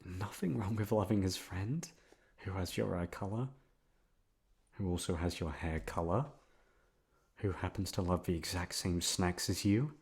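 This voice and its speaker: A man playing an obsessive, lovesick young man in an intimate ASMR roleplay, coaxing softly with drawn-out words and emphatic repetition. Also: sits low. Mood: defensive, insistent. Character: obsessive lovesick young man confessing to his best friend.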